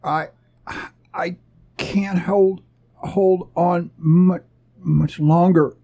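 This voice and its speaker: A man's voice: high-pitched, steady tone, very slow, creaky, dark tone, voice pushed up, full character voice. A man voicing a panicked young-spirited spaceship crewman who stammers and strains as he cries for help in an adventure story. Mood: terrified, pained.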